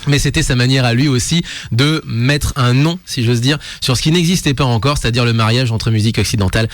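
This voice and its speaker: A man's voice: mid-range voice, brisk, dry recording. A man hosting a radio show in casual chat, rambling and correcting himself with many fillers. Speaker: radio host.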